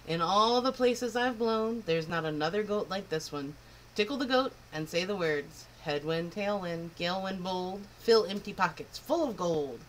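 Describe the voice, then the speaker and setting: A woman's voice: fairly low pitch, normal speed, natural voice, poor audio. Woman doing a brusque, boastful old wind spirit for a storybook reading; faint hiss behind. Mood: gruff. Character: gruff old male north wind spirit.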